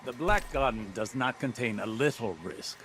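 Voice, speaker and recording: A man voicing a learned, cautious older mentor in a video game, stern but caring, speaking in a measured, formal way. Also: medium pitch, heavy background noise, lightly acted. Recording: music playing behind.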